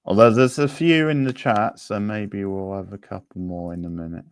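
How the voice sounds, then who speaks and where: A man's voice: on the low side, steady tone, normal speed, no room sound. A man hosting a livestream quiz, chatting casually with viewers in a laid-back, conversational way. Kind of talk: casual live chat with viewers.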